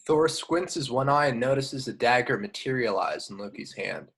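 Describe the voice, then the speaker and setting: Medium-pitched man reading stage directions. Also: animated, normal speed, gravelly, a bit bright, slightly rough. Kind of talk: scripted narration.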